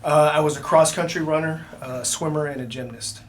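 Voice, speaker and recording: A man in his sixties, a police chief, answering interview questions in a halting way with many fillers. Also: a little high, steady tone, a little hiss or hum. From United States. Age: 60s.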